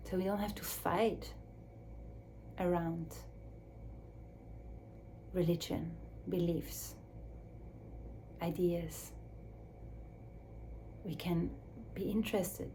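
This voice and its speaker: Soft-spoken woman, non-native English. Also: fairly low pitch, fairly even intonation, slight background noise. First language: Swiss German or Dutch. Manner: slow, searching, with restarts and soft drops to near whisper. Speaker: speaker on her own channel. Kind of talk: reflective solo talk.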